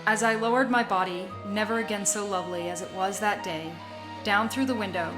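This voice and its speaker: A woman playing an older Sicilian woman who narrates her youth in a radio play, measured, confiding and wistful. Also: mid-range voice, music in the background, lightly acted, poor audio. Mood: wistful, sensual.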